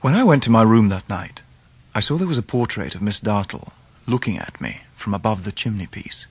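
An English gentleman narrating his youth in a radio play. His voice is lowish and a little husky, recorded close and dry. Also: very animated, a little fast, no room sound, full character voice. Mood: reflective. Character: grown gentleman recalling his naive youth. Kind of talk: first-person radio drama narration.

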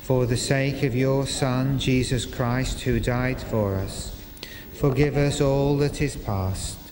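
An older man leading a church service, reading liturgy and prayers to a congregation in a measured, formal way, phrase by phrase. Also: mid-range voice, fairly even intonation. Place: inside a parish church, congregation present. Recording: echoey church hall with noisy ambience.

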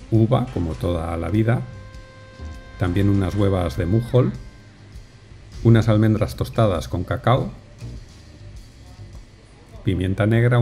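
Mellow-voiced male voice-over narrator. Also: fairly low pitch. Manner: measured, unhurried, descriptive. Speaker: food vlogger narrator. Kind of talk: calm scripted voice-over narration. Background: soft music.

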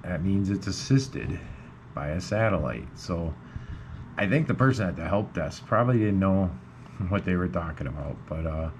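Low-voiced man, casual tutorial, noisy recording. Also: expressive, normal speed. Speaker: amateur radio video host. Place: indoors at a computer. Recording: clearly noisy room recording.

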